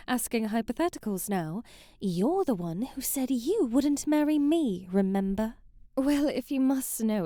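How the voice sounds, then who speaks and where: A woman's voice: a little high, mellow, no room sound, studio quality. A woman playing a sheltered young princess in an intimate ASMR roleplay, with prim old-fashioned diction, a coy, hesitant manner and a haughty streak. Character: sheltered young princess, flustered, haughty, bookish and secretly curious.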